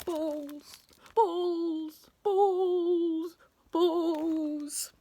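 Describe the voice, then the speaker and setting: A woman voicing a pompous, flustered male schoolteacher in a storybook, booming and repeating words frantically. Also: big pitch swings, very slow, fairly bright tone, exaggerated voice. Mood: manic muttering.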